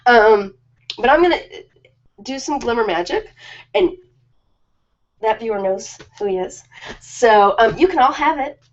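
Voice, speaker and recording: A woman's voice: a little high, lively intonation, a little slow, long pauses. A woman chatting casually and informally on a live stream, ad-libbing in a rambling, self-deprecating way. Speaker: live-stream host of ASMR and ritual videos. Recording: slightly dull lo-fi live-stream audio.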